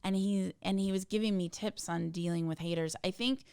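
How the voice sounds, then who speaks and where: Gravelly yet smooth-voiced woman. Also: medium pitch, steady tone, brisk, mellow, no room sound, clean studio sound. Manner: earnest, passionate, emphatic. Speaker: tech community founder, podcast guest. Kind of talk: conversational interview answers. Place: hotel suite.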